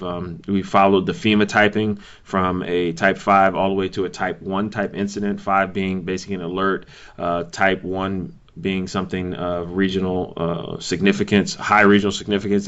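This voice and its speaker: A man chairing a conference call, giving informal updates in a halting, thinking-aloud way. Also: low voice, a bit bright. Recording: dull lo-fi conference call audio.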